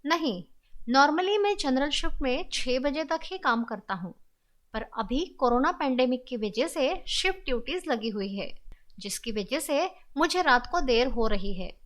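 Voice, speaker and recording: A woman's voice: high voice, expressive, unhurried, a bit bright. A woman storyteller voicing a helpful, polite, inquisitive male office worker in a horror story. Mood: explaining.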